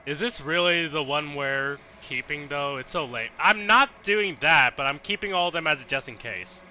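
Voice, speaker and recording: A man's voice: fairly high pitch, moderate pace, bright, forceful tone, a little hiss or hum, poor audio. A man streaming a let's play, giving casual, irreverent commentary with plenty of swearing. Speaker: game streamer. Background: faint music.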